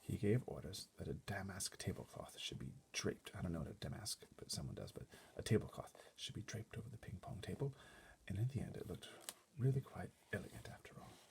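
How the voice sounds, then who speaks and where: Very deep, gravelly male storybook reader. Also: very animated, fairly quick, darkish tone, a little hiss or hum. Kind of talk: children's book readaloud with casual explanatory asides.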